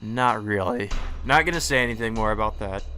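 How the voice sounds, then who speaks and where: A man's voice: lowish voice, fairly quick, crowd noise. A man hosting a visual novel let's play, talking casually and hesitantly with lots of fillers and self-deprecating remarks. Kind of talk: casual gameplay commentary.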